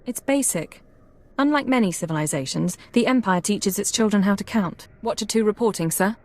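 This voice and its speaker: An actress playing a proud intelligence analyst in a video game, crisp, precise and businesslike. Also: female voice, medium pitch, brisk, darkish tone, close to an everyday voice. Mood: crisp, aloof. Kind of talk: video game cutscene acting.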